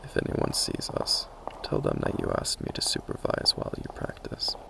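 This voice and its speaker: A man playing an earnest, caring magic academy teacher in a soft, whispery ASMR roleplay, speaking in a measured, gently formal way. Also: background noise, close to an everyday voice. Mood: quietly conspiratorial. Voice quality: whispery, breathy, mostly unvoiced. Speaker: roleplay voice performer. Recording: low-quality amateur recording.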